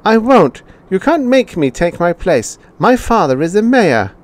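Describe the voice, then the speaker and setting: A man voicing a spoiled, defiant twelve-year-old boy who talks back loudly. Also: sits high, big pitch swings, unhurried, voice pushed up. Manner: shouting defiance.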